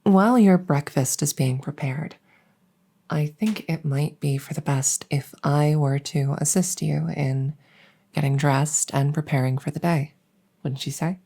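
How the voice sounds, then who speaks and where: A woman playing a devoted vampire maid in an ASMR roleplay, speaking softly and formally with soothing deference and gentle teasing. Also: deep voice, exaggerated voice. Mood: attentive, deferential. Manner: soft, breathy, deferential, unhurried formal phrasing. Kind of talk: ASMR roleplay, intimate personal-attention audio.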